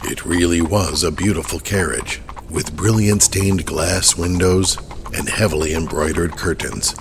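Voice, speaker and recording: A man's voice: low-pitched, measured pace, creaky, background noise. A man narrating a horror audio drama in a dramatic, scripted storytelling style. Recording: music underneath.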